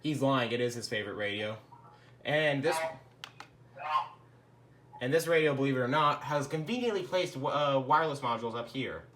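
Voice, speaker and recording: A young man with an ordinary middle-pitched voice talks loosely and unscripted, wandering off topic and swearing under his breath now and then. A faint hiss sits under the recording. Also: lively intonation, moderate pace, pauses often, fairly bright tone. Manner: laid-back and rambling, with mild swearing. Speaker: radio collector showing his collection. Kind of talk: casual rambling product demo.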